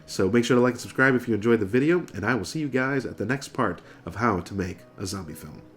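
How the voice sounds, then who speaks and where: A man's voice: lowish voice, lively intonation, fast talker, faint music behind. An American man in his thirties, nasal from getting over a cold, casually explaining things to camera as a video host. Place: furnished room indoors.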